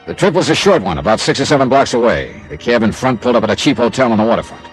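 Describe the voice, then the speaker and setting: Actor as a lively, hard-boiled American radio detective. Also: male voice, mid-range voice, racing, faint music behind, natural voice. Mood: wry, intent. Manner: clipped, hard-boiled, wry. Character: wry, streetwise male freelance insurance investigator narrating his case. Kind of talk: classic radio detective drama, first-person narration.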